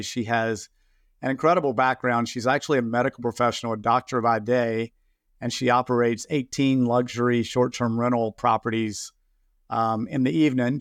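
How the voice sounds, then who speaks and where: A man hosts an interview podcast and talks in a casual, conversational way. His pitch is mid-range and the recording is close and dry. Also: no room sound. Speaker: podcast host.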